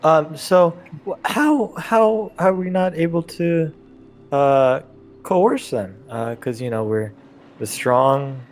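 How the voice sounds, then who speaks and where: Hesitant man at a tabletop game. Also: a little high. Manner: hesitant, halting. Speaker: tabletop role-playing player. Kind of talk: casual in-game description.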